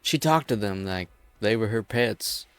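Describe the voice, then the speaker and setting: A man's voice: on the low side, lively intonation, measured pace, fairly bright tone, clear, resonant tone, no echo. A man voicing a bitter, defiant leader of an armed group in a game fan dub. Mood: defiant, confessing.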